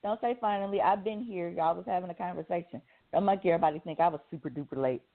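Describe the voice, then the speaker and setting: A woman's voice: on the low side, fast. A woman co-hosting a radio show in casual chat, informal, blunt and slangy. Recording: narrow tinny phone-like sound.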